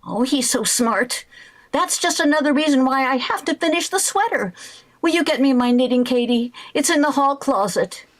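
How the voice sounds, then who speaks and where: A woman's voice: high-pitched, lively intonation, moderate pace. A woman playing a frazzled, wry, weary housewife in 1940s radio comedy style, with dramatic exclamations. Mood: wry, exasperated. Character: frazzled, stubborn young housewife knitting late, wry and weary.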